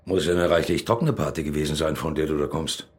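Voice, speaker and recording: An actor playing a bitter, rattled, cornered accomplice in a radio crime drama, with a gruff, irritable manner. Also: male voice, a bass voice, steady tone, fast talker, noisy background. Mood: irritated, dismissive.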